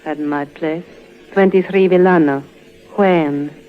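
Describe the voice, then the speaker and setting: An actress playing a coy, teasing and seductive femme fatale in a vintage radio drama. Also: female voice, low-pitched, steady tone, slow pace. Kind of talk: 1950s radio drama acting. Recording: thin, phone-like vintage radio recording.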